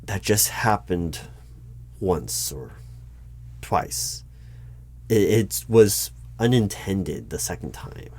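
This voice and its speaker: A man performing a one-sided ASMR roleplay as a politely bureaucratic hiring manager, with a measured, halting, dry and formal delivery. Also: sits low, lively intonation, darkish tone. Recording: noticeable background noise with keyboard typing. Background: computer keyboard typing.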